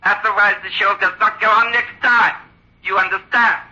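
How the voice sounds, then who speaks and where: A man's voice: high-pitched, fairly even intonation, normal speed, bright, forceful tone. An actor voicing a small, sinister male ventriloquist's dummy, mocking and threatening, in old-time radio drama style. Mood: taunting. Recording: tinny, dull old radio recording.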